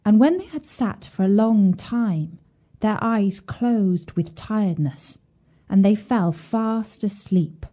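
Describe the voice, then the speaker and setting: A woman storyteller with a soft-edged, mellow tone and a medium pitch. She reads scripted bedtime narration for children. Her delivery is measured and expressive.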